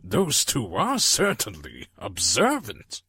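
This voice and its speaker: Give this storybook servant, an old stallion, a creaky voice that takes its time. He is humble and kind, a little timid, and courteously formal with his master. The recording is close and dry. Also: on the low side, wildly swooping pitch, pushed and bright, no echo, voice pushed up, exaggerated voice. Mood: gentle, courteous. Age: older. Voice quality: gravelly, raspy. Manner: slow, halting, formal and courteous. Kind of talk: acted character voice in a story reading.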